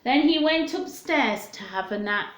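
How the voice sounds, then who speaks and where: A woman's voice: high-pitched, lively intonation, a little slow, reverberant. A woman reading a story aloud to young children, speaking simply and expressively to her listeners.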